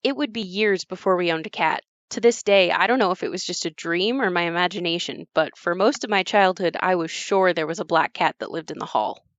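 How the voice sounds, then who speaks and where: A woman playing an adult narrator who looks back on her haunted childhood home in a scripted audio play, reflective and measured. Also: on the low side, no echo, muffled recording, close to an everyday voice. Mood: reflective, confiding.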